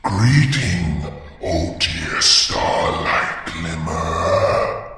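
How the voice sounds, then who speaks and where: Very slow, deep, sinister creature. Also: male voice, lively intonation, sharp tone, full character voice. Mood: mocking menace. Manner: drawn-out, sneering, formal. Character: sinister male creature, slow, menacing and mockingly courteous. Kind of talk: cartoon villain acting. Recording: distant, heavily echoing, very noisy.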